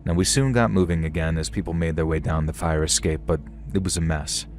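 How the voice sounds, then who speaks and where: A man narrating a story in a calm, steady storytelling manner. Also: rumbling low, fairly quick, faint music behind. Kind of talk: scripted horror story narration.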